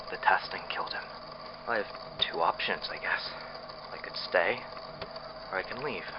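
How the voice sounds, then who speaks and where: An actor playing a frightened, bitter captive test subject recording an escape diary in an audio drama, speaking in a halting, hesitant way. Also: male voice, sits low. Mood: grieving, resigned. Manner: halting, hesitant, breathy sighs. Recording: muffled lo-fi tape with clear noise.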